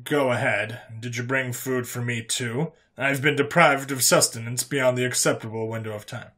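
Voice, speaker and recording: A man's voice: medium pitch, steady tone, normal speed, pushed and bright, natural voice. A man voicing a proud male alien prisoner in a narrated sci-fi story, stiffly formal and indignant. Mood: stone-faced, haughty. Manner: stiffly formal, indignant, hissing when upset. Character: stern, proud furry male alien prisoner, easily horrified.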